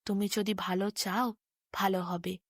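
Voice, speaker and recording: A woman voicing a gentle, wise young prince who speaks in an earnest, measured way, as in a storybook. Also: mid-range voice, level tone, dry recording, clean studio sound, pitched below the performer's own voice. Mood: earnest, advising. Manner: earnest, measured moral counsel.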